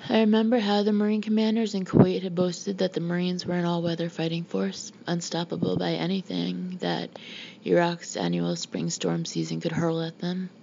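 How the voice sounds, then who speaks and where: Creaky low-voiced woman reading a memoir. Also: moderate pace, dark tone. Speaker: audiobook reader. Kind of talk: reading a memoir aloud, scripted narration. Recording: dull lo-fi home recording, background noise.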